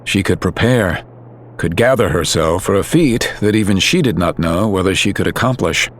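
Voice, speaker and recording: A man narrating a story in a calm, measured, scripted way. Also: sits low, slight background noise. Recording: music under narration.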